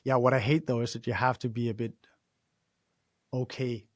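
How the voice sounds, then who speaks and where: A man from Denmark with a Danish accent, hosting an interview in an animated, chatty way with frequent laughs. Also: medium pitch, normal speed, dry recording, studio quality. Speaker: podcast host. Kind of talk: casual interview conversation.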